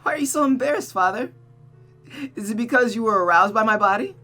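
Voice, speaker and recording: A woman's voice: a little high, lively intonation, a little fast, bright, forceful tone, faint music behind, pitched above the performer's own voice. A woman voicing a seductive, taunting, unhinged female creature of vengeance and desire from a visual novel, in a mocking, cooing, theatrical manner. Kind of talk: visual novel character read-aloud.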